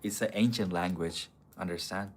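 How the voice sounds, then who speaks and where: A man's voice: sits low, moderate pace. A man on a casual live stream, answering viewer comments in a blunt, profane, argumentative way. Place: city streets outdoors.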